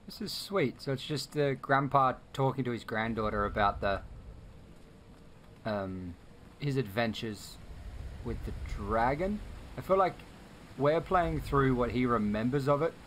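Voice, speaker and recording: A man's voice: mid-range voice, a little slow, a bit bright, slight background noise. A man hosting a let's play, giving informal commentary and thinking aloud as he reacts to the game. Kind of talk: casual gaming commentary.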